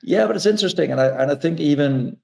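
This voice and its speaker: A man's voice: fairly high pitch, animated, fast, creaky, mellow. A man in his forties, a native Danish speaker, talking about his book in a hesitant, restarting way. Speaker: author and speaker. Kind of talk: casual conversation.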